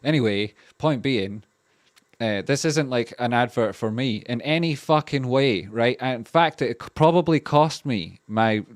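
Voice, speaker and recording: A man's voice: mid-range voice, unhurried, no room sound, clean studio sound. A man with a Scottish accent hosting a casual livestream, talking in a loose, rambling way with lots of fillers and restarts and free swearing as he reads out donations. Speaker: livestream host.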